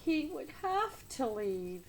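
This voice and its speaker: A woman's voice: high-pitched, very animated, slow, a little hiss or hum. A woman reading a children's storybook aloud with dramatic, emphatic phrasing. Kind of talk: expressive children's storybook narration.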